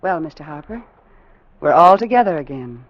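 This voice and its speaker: Expressive, anxious captain's wife. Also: female voice, deep voice, moderate pace. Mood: polite, brittle. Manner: polite, dramatic delivery. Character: anxious, well-spoken wife of a ship's captain. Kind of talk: old-time radio drama acting. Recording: muffled, noisy vintage radio recording.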